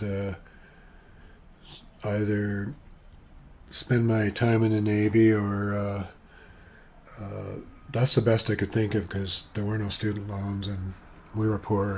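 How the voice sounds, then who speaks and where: A soft-voiced Canadian man in his seventies, sitting at his computer at home and rambling through a personal video monologue with many asides. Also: fairly low pitch, slight background noise. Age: 70s. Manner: chatty, eccentric, wandering with asides and fillers. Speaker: vlogger. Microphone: webcam with external sound gear.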